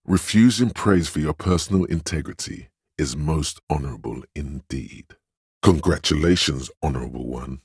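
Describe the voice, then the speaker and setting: An actor voicing a solemn, grandiose inner voice of authority in a video game, speaking in a pompous, ceremonious and deliberate manner. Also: male voice, very deep voice, breathy edge, no room sound, studio quality, full character voice. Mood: solemn praise. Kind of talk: scripted game voice lines, theatrical narration.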